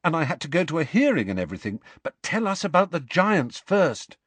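An eager teenage boy wizard, put on by a male narrator, pitched up and husky, rushing his words with urgency. Dry, close sound. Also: big pitch swings, fairly bright tone, no echo, full character voice. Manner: urgent, quick.

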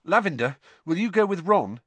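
A man's voice: high-pitched, big pitch swings, normal speed, a bit bright, slightly rough, no room sound, voice pushed up, exaggerated voice. A man voicing an awkward, earnest teenage schoolboy with a direct, understated manner in a storybook. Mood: nervous, hopeful.

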